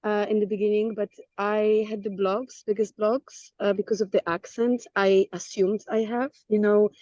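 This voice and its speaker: A woman with a Polish accent talks openly about herself, with halting restarts and frequent soft laughs. Her voice comes through a dull, lo-fi connection with no room sound, as if she were calling in from the city. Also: mid-range voice, flat delivery, dry recording. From Poland. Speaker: guest. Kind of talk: casual chat. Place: outdoors in a city.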